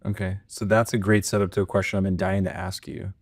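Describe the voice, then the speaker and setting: A man's voice: low-pitched, flat delivery, quick pace, no room sound. A man co-hosting a podcast, talking casually with loose phrasing and lots of fillers. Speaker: podcast co-host. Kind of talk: podcast interview.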